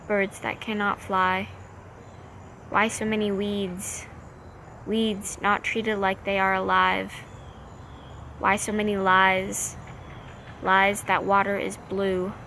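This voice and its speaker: A woman reciting slam poetry in deliberate, measured short phrases. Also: mid-range voice, a bit bright. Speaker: slam poet. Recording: faint background noise.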